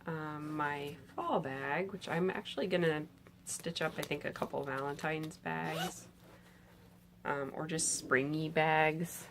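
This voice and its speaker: A woman in her thirties, a little hoarse from a cold, chatting enthusiastically about her knitting projects in a bedroom, with many filler sounds. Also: sits low, steady tone, takes it slowly, very noisy. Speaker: knitting podcast host. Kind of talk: casual show-and-tell chat. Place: bedroom with pillows, indoors.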